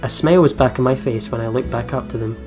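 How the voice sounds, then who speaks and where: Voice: mid-range voice, quick pace. A let's player reading out visual novel narration and adding casual commentary. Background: soft guitar game music.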